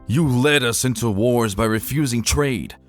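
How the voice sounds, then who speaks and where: A man's voice: mid-range voice, measured pace, soft background music, lightly acted. A man voicing a proud, contemptuous young stallion prince in a dramatic reading, snarling and spitting his words with a hot temper. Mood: furious. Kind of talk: dramatic fan fiction reading.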